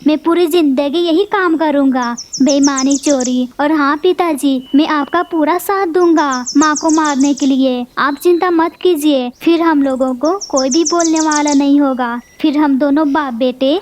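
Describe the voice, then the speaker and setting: Young boy bird in a cartoon, eager, goes along with dad, very high voice, woman plays him. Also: unhurried, few pauses, a little mellow, slight background noise. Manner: eager, agreeable. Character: obedient young male bird son.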